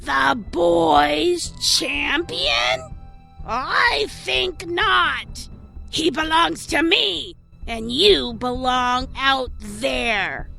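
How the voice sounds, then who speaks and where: A woman playing a menacing, possessive nightmare demoness in an audio drama, taunting with slow, drawn-out words. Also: high-pitched, lively intonation, gravelly, bright, forceful tone, faint music behind, heavily acted.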